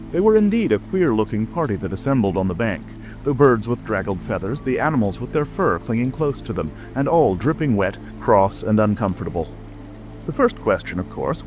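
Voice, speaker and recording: A man narrates a classic storybook in a fairly low voice. His dramatic reading moves through a wide, lively range of pitch, with music clearly playing underneath. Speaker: audiobook narrator. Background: music, rain ambience.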